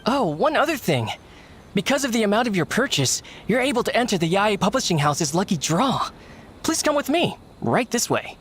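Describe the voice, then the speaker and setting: An actress playing a friendly, courteous and attentive bookseller in a video game. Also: female voice, medium pitch, sing-song, normal speed, slight background noise, close to an everyday voice. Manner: courteous, chatty shopkeeper.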